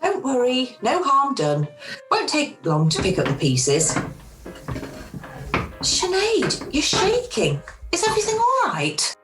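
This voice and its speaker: A woman's voice: high voice, huge pitch swings, slow pace, pauses often, a bit bright, faint music behind, heavily acted. A woman playing a wry, hospitable pudding club hostess with a theatrical manner in an amateur radio play. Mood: reassuring, hospitable.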